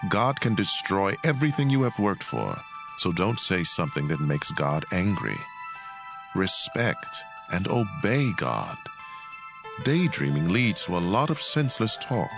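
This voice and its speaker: A man narrating scripture as a dramatized audiobook, in a measured, expressive and emphatic delivery. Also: deep voice, creaky, music in the background.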